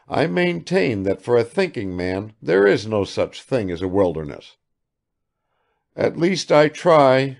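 A man's voice: medium pitch, creaky, darkish tone, pitched above the performer's own voice, heavily acted. A man reading aloud and voicing an eager, garrulous, good-natured old country father who chatters too much.

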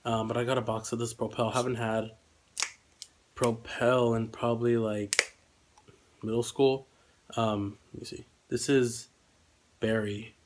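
Young man with flat, congested voice. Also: medium pitch, a little slow, long pauses, slight background noise. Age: young adult. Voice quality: slightly congested. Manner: hesitant, rambling. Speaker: vlogger. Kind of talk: casual eating vlog chat. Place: small room indoors at home.

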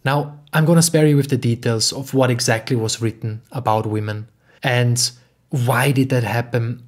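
A young man from Austria speaking in an earnest, emphatic way, slowly repeating phrases as he talks alone to the camera at home. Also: medium pitch, fairly even intonation. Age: young adult. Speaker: podcast host and spiritual teacher. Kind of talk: reflective solo talk. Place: home living room.

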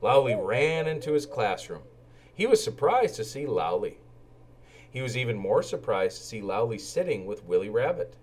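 A man reads a children's storybook aloud as a storyteller. His delivery is expressive and animated, with intonation that moves widely from line to line. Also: mid-range voice, moderate pace, slight background noise. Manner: animated, acts out character lines.

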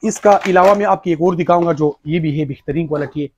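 A man in his thirties, a shopkeeper, eagerly pitching products in a crowded electronics shop, recorded on a lapel mic. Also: fast, noisy background. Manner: eager sales pitch, repeating "ye dekh lo". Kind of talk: product demonstration.

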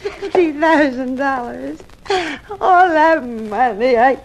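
An American actress playing a scheming, greedy blackmailer with a sugary, menacing manner in a 1950s radio drama. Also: female voice, sits high, lively intonation, slow, heavily acted. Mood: frantic. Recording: old radio recording, faint noise.

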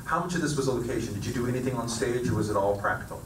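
A man's voice: mid-range voice, rapid-fire. A man moderating a stage Q&A through a handheld mic, often stumbling and repeating words. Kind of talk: panel moderating. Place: stage of a screening theater. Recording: echoey theater, distant mic, noisy.

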